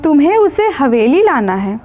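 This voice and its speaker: A woman's voice: high-pitched, very animated, normal speed, dark tone, muffled recording. A woman voicing the sorrowful, lovelorn ghost of a dead husband in a story, wistful and tender. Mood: mournful, resigned.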